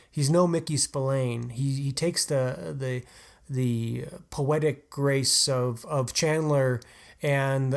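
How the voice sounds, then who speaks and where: A man's voice: mid-range voice, gravelly. A man co-hosting a podcast, talking about a novel in a casual, earnest and analytical way. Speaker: podcast co-host. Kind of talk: casual book discussion.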